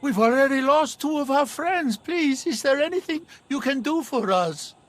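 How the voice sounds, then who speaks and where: A woman's voice: sits high, animated, normal speed. A woman playing an earnest exiled refugee in a video game, speaking with pleading feeling. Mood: desperate, pleading.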